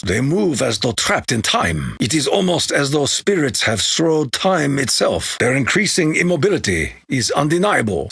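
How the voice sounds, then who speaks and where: An actor playing a proud, honor-bound Japanese warrior in a video game, with a Japanese accent, gravelly husky voice and solemn, formal, declamatory delivery. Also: male voice, fairly high pitch, fairly bright tone, no room sound, studio quality, heavily acted. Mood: grim, solemn. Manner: solemn, declamatory, formal clipped phrasing with dropped articles. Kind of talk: theatrical video game voice acting.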